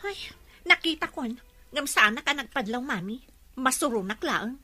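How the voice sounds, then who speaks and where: Peevish young Filipina daughter, radio serial; high, bright voice, dry studio sound. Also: female voice, animated, moderate pace, husky, no room sound, full character voice. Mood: annoyed, sulky. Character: young woman, peevish, evasive daughter and girlfriend. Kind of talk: Ilocano radio drama acting.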